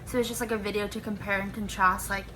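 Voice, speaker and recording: 19 y/o girl talking through a school project, lots of "like", pretty flat delivery. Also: medium pitch, quick pace, slight background noise, rough recording. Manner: rambling, heavy use of "like" fillers. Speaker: student presenting a class project. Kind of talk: casual spoken explanation.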